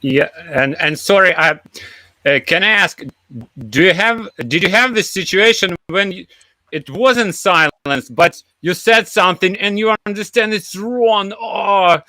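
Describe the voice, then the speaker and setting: A man taking part in an online lesson, halting and searching for words. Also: sits high, bright, forceful tone, no echo. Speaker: learner participant.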